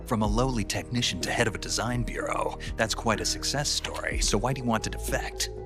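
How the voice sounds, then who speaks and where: An actor playing a cool, tough male covert agent in a dramatic video game, gruff and terse, with dry questioning delivery. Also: on the low side, fairly quick, music in the background, lightly acted. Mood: curious, wry. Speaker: field operative being briefed.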